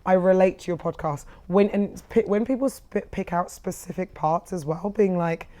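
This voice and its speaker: Young female podcast co-host, croaky, warm low-edged tone, chatty and upbeat. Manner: bubbly, laughs often, fast restarts. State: croaky voice after a big event. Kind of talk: casual chat.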